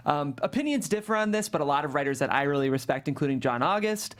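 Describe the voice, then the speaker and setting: A man's voice: a little high, animated, quick pace, a bit bright. A man hosting a script table read, introducing it and reading out stage directions. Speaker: host and writer of the script.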